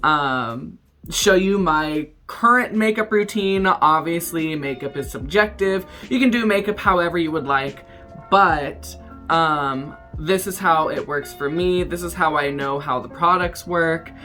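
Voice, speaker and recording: A man in his twenties, a drag queen, talking through his makeup routine in a casual, self-mocking way, with lots of ums and quick giggles. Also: on the high side, bright, forceful tone, faint music behind. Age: 20s. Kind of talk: casual makeup tutorial commentary.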